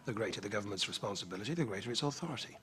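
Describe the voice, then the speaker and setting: A man's voice: lowish voice, expressive, rapid-fire, noisy background, natural voice. An actor playing an assured, ambitious young-republic statesman in a period film, with formal, precise and assertive delivery. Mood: assured persuasion. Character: assured, ambitious Treasury statesman of revolutionary-era America. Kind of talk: period film drama dialogue.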